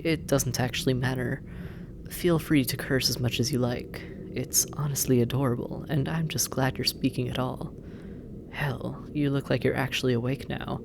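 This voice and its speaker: A sardonic demon captor, played by an actor in an audio drama, drawling slowly with a gravelly fry. The teasing, intimate delivery slips into breathy murmurs and long pauses, over clearly audible background noise. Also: medium pitch, natural voice. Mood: amused, playful. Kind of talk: scripted roleplay audio drama, intimate second-person address.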